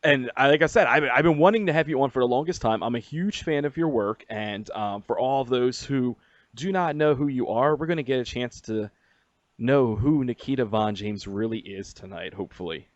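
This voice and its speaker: A male radio host runs a loose interview, hyped, crude and swearing, often stumbling into restarts. His voice sits at a plain middle pitch. The sound is dry and a little muffled. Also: fairly quick, no echo.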